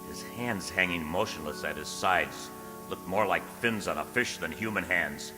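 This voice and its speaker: A man's voice: low-pitched, normal speed, close to an everyday voice. A man playing a secretive, easily unnerved poet, narrating his diary in a literary, theatrical radio drama style. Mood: unnerved, hushed. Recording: reverberant radio play with music underneath.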